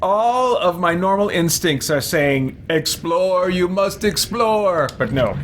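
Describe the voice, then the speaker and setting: A man's voice: high voice, lively intonation, takes it slowly, slight background noise, pitched above the performer's own voice. A man voicing a haughty, impatient evil wizard in a theatrical, formal manner as he roleplays a video game. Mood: scheming, self-satisfied. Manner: theatrical, imperious, formal diction. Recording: loud game ambience behind voice. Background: video game ambience.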